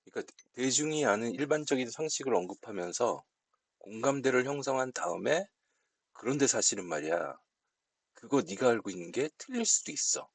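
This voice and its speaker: A man explaining software settings step by step in an unscripted tutorial, speaking politely as an instructor. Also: mid-range voice, expressive, fairly quick, creaky, husky, no room sound.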